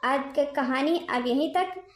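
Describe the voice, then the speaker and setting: A woman's voice: sits high, measured pace, roomy sound. A woman with an Awadhi accent, a cartoon channel storyteller addressing her viewers.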